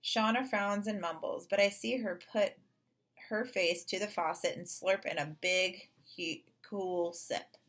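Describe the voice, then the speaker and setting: A woman narrating a children's story in an expressive read-aloud style. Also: mid-range voice, unhurried. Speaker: storybook reader.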